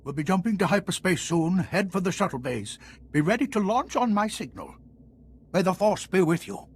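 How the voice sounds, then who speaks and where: An actor playing a determined Republic fleet commander in a video game, speaking with solemn authority. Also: male voice, high voice, animated, moderate pace, a little hiss or hum. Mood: solemn resolve. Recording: music under the voice.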